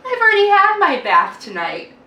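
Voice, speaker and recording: A woman plays an eager, polite little boy from a storybook, cheerful and high-pitched. The voice is bright and forceful, heard in a roomy space at some distance from the microphone. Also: wildly swooping pitch, moderate pace, full character voice.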